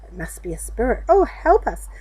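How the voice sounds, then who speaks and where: Woman as worried male disciple, storybook reading, devoted, pleading, wide pitch swings, breathy. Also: big pitch swings, moderate pace, a little mellow, low-quality recording. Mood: terrified.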